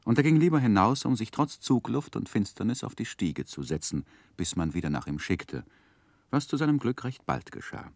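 A man recounting his life in a 1960s literary radio play. His voice is low and moves expressively up and down. The delivery is measured and gently wry, recorded close and dry. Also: sing-song, no room sound. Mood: wry, narrating. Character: wry grown man narrating his own life story. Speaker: narrator. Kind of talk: scripted literary narration in 1960s radio drama.

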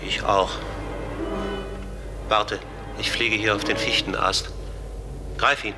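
A man playing a human-sized talking raven in a radio play, weary, puzzled and frank, speaking plainly and gravely. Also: on the low side, little pitch movement, slow, music in the background. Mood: weary, uneasy.

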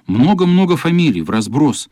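A man's voice: on the high side, very animated, moderate pace, mellow, no room sound, studio quality. An actor as a male storyteller, narrating a children's radio play in a scripted style. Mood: measured narration.

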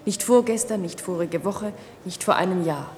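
An actress playing a resigned, clairvoyant wife in a German radio play, speaking in a measured, matter-of-fact way. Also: female voice, fairly low pitch, darkish tone, a little hiss or hum, lightly acted. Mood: composed. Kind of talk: 1960s-80s German radio play acting.

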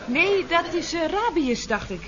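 An actress playing a cheeky, mock-innocent office secretary in a Dutch radio comedy, with sly, sugary phrasing. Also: female voice, very high-pitched, very animated, measured pace, heavily acted. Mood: innocently curious. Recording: dull, faintly noisy vintage radio recording.

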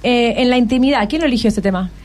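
A woman's voice: on the high side, fast, a little mellow. A woman with a Rioplatense Argentine accent hosting a casual radio interview, encouraging and full of questions. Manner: encouraging, asks many questions. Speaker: radio host interviewing schoolchildren.

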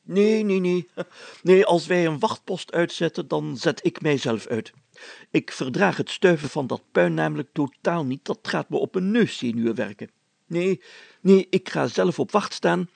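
Storybook mayor performed by a male reader: pompous, excitable adventurer, heavy creak, husky, dry close sound. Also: on the high side, measured pace, no room sound. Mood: blustering, self-assured. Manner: pompous, exclamatory, chatty. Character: excitable, self-important, adventurous village mayor, a man.

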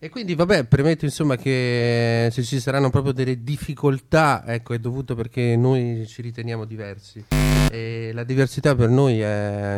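A man hosting a casual radio show in a halting, hesitant way. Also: medium pitch.

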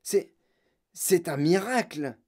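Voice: medium pitch, lively intonation, slow pace, a little mellow, slightly rough. A narrator voicing an enthusiastic old grandfather in a children's audiobook, full of breathless, awestruck exclamations. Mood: amazed. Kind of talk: children's audiobook character acting.

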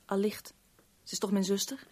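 An actress in a Dutch radio drama playing a guarded, sharp-tongued young woman with a curt, irritable manner. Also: medium pitch, flat delivery, normal speed, lightly acted. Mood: defensive. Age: young adult.

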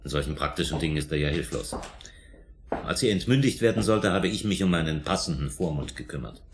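A man's voice: very deep voice, expressive, fairly quick, a little hiss or hum. A man acting in a German radio drama as a defensive, indignant suspect under police questioning, emphatic and self-justifying. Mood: defensive, explaining.

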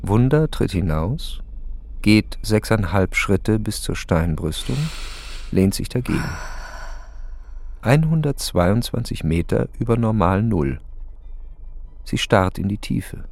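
A man's voice: on the low side, lively intonation, slow pace, pauses often, a little mellow, no room sound. A man narrating a radio play in terse, matter-of-fact fragments.

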